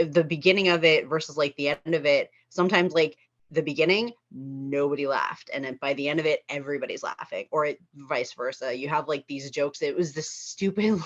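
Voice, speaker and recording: A woman in a casual group discussion who often giggles as she talks. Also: low voice. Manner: giggly, laughs while talking. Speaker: table read cast member. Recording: dull, lo-fi audio.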